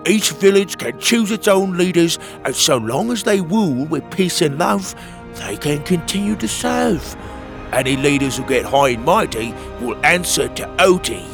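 A man's voice: a little high, animated, moderate pace, vocal fry, over music, voice pushed up. A man voicing a bold, plainspoken platypus hero in a children's storybook. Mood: defiant.

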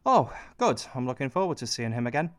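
A man's voice: mid-range voice, very animated, quick pace, fairly bright tone. A man playing a cynical, self-pitying prime minister in a satirical radio play, sardonic and bitter as he confides in the audience. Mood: smug, breezy.

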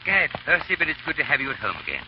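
An actor playing a hero's loyal friend and confidant, hearty and familiar, in 1930s radio drama style. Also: male voice, a little high, wildly swooping pitch, very fast. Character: loyal male confidant and friend of a secret hero. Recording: muffled, hissy 1930s radio recording.

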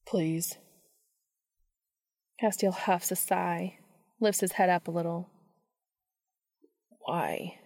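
A woman's voice: fairly low pitch, steady tone, moderate pace, a little mellow, dry recording, studio quality. A woman hosting a podcast, reading scripted introductions and fan fiction narration aloud. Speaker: podcast host and reader.

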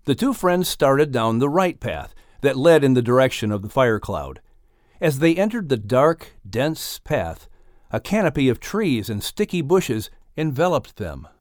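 A man narrating an audiobook story in an expressive, scripted storytelling style. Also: medium pitch, measured pace, no room sound, clean studio sound.

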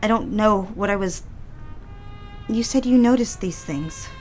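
A woman playing an anxious, deferential young secretary in a period office drama, halting and flustered as she talks. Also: mid-range voice, darkish tone, soft background music, close to an everyday voice. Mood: flustered, hurt. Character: anxious, eager young secretary, engaged to be married.

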